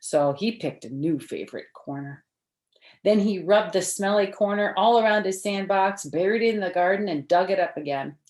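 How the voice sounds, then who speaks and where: An older woman reading a picture book aloud to children, expressive and deliberate, with friendly asides to young listeners. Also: mid-range voice. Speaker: storyteller for children. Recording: echoey room.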